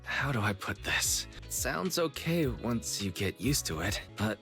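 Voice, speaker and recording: A man's voice: on the low side, sing-song, unhurried, fairly bright tone, faint music behind, heavily acted. A man playing a mild, easygoing young brother in a video game, courteous and a little hesitant.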